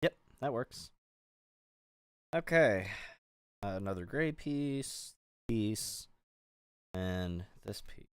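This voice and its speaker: A man's voice: lowish voice, animated, extremely slow, pauses often, clear, resonant tone, no echo, clean studio sound. A middle-aged man from the Pittsburgh area, streaming and rambling casually with lots of fillers and restarts while he builds. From Pittsburgh area, USA. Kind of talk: casual chat while building a toy set.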